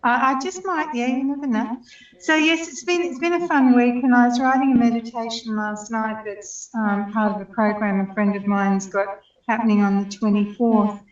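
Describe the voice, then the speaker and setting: An older woman co-host talks hesitantly and wryly, pausing on ums. Her voice is a little high and sounds far from the mic in a roomy, dull recording. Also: steady tone. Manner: hesitant, full of ums, wry. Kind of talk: casual chat. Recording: distant, roomy, dull call line.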